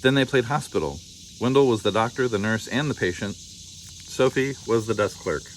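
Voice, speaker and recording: A man narrating a children's picture book in an expressive read-aloud style. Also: medium pitch, moderate pace, slight background noise, low-quality recording. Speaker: storybook reader.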